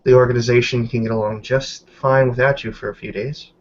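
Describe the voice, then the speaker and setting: A man's voice: mid-range voice, steady tone, fairly quick, lightly acted. A man playing a jovial, wry woman friend in a tabletop game, with hesitant, encouraging speech on a dull recording. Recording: dull lo-fi mic with background noise.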